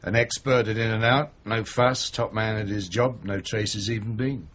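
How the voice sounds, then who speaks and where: An actor playing a gruff, blunt, middle-aged chief inspector in a radio crime drama, weary and disgruntled, clipped in his speech. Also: on the low side, fairly even intonation, moderate pace, fairly bright tone, slight background noise, natural voice. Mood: matter-of-fact. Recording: muffled 1980s radio drama recording.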